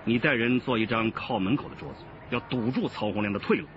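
An actor playing a commanding male police detective in a radio drama, brisk, decisive and authoritative. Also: mid-range voice, close to an everyday voice. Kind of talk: Chinese radio drama acting. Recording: dull band-limited radio recording, noisy background.

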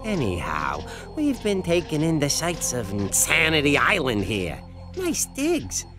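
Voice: medium pitch, huge pitch swings, a little slow, over music. An actor voicing a gleeful, villainous mutant parrot in a video game, with a mocking, theatrical swagger. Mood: smug, mocking. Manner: theatrical, mocking, swooping delivery. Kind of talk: video game cutscene acting.

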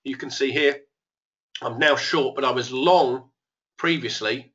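A man's voice: medium pitch, unhurried, fairly bright tone, lo-fi sound. A man who is losing his voice a bit, casually talking through live trades as the host of an online trading room. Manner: casual, thinking aloud. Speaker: trading educator.

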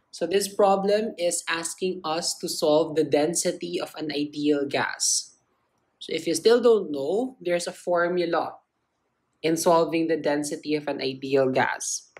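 A woman's voice: deep voice, a little mellow. A woman tutor narrating a step-by-step lesson in simple, explanatory phrasing. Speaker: chemistry tutor.

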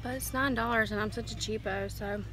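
A woman's voice: on the high side, steady tone, brisk, loud music behind the voice. A young woman chatting to her phone camera while browsing a large discount store. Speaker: vlogger. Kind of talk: casual shop-with-me narration. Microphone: phone camera held at arm's length.

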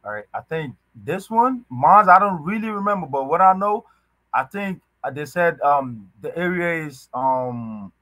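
A man's voice: a little high, animated, bright, forceful tone, no echo. Young Ghanaian-born man on a dry video call. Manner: halting, many restarts and fillers, loose slangy talk. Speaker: podcast guest. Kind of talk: casual conversation. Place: indoors, small room at home.

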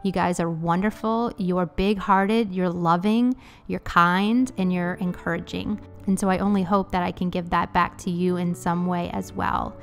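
A woman's voice: lowish voice, steady tone, moderate pace, gravelly, few pauses, soft background music. A woman in her thirties giving an earnest, encouraging voice-over for her cleaning vlog. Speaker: cleaning vlogger.

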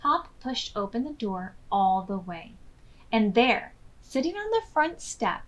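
A woman's voice: a little high, very animated, measured pace. A woman, reading a picture book expressively for preschoolers. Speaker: children's storytime reader. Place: small furnished room indoors.

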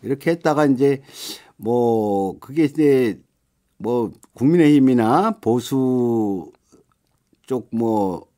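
Middle aged guy on radio interview, mellow voice, medium pitch, stop-and-start explaining into studio mic. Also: lively intonation, slow pace. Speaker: interview guest, activist group chair. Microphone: studio condenser microphone up close.

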